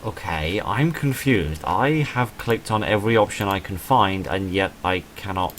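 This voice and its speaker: A man's voice: deep voice, unhurried, a bit bright, a little hiss or hum. A man reading visual novel game text aloud, mixed with casual, self-deprecating commentary.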